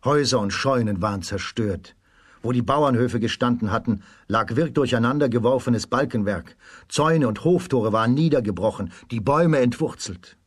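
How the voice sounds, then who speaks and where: A man narrating a children's radio play as a storyteller, in a measured storybook delivery. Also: medium pitch, husky, no room sound, clean studio sound.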